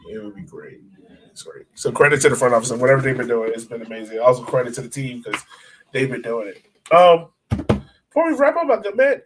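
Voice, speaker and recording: A man's voice: mid-range voice, noisy background. A man co-hosting a sports podcast in casual, informal, enthusiastic fan chat. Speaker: podcast co-host.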